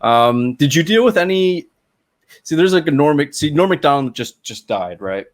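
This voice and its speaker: Lively-pitched man hosting a casual podcast. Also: normal speed. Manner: laid-back, laughing, reactive. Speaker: podcast host. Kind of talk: casual chat.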